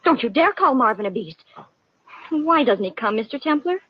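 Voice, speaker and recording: High-voiced, fretful, loyal young woman. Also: sing-song, noisy background. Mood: jealous, indignant. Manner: fretful, earnest, quick to bristle. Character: anxious, indignant, loyal young woman fretting over her man. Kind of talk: 1950s radio drama acting. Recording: muffled vintage 1950 radio broadcast.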